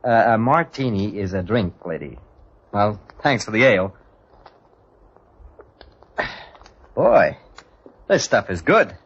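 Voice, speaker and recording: An actor playing a breezy, dismissive American husband, with an American accent and wisecracking slang, in old-time radio drama style. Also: male voice, fairly low pitch, sing-song, unhurried, breathy edge, full character voice. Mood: breezy, polite. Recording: dull, faintly noisy old radio recording.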